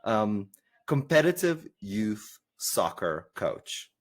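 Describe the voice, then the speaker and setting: This is a South African man interviewing a guest, eager and quick to jump in. His voice sits low with a husky edge. It rises and falls a lot as he repeats himself and piles on praise. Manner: animated, enthusiastic, stumbles over word starts. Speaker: podcast host. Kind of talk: casual interview conversation.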